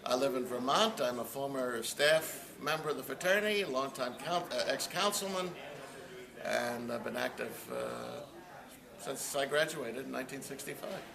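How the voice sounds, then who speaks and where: A man's voice: mid-range voice, expressive, moderate pace, creaky. A man in his sixties, a former fraternity staff member, giving plain, reflective interview answers. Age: 60s. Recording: crowd chatter behind.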